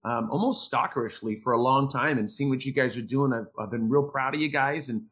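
Male podcast host, quick talker, informal and gushing, loose conversational interview style. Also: medium pitch, a bit bright. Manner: effusive, informal, wordy. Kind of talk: casual interview chat.

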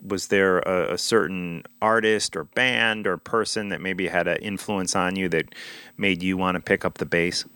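A man's voice: deep voice, steady tone, moderate pace, pushed and bright, smooth, no echo. A man hosting a metal podcast, asking an interviewee questions in a friendly, upbeat fan manner.

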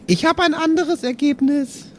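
A man's voice: very high voice, normal speed, slight background noise, voice pushed up. A man voicing a whiny schoolgirl pupil who keeps insistently pleading with the teacher in an improvised radio play.

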